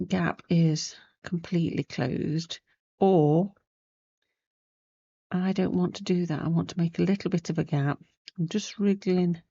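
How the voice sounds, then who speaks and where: A woman's voice: fairly low pitch, vocal fry, dark tone, no room sound, dull audio. A woman with a sniffly cold, chatting casually as she demonstrates a sewing technique, rambling and losing her thread at times. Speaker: crafting channel host. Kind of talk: casual sewing tutorial chat.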